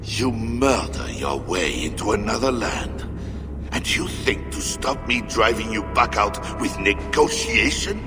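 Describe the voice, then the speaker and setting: A man's voice: fairly low pitch, expressive, a little slow, over music. An actor playing a zealous, scornful Frankish king in a video game, theatrical and brooding, with sudden swells. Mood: scornful, rising anger. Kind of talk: video game cutscene acting.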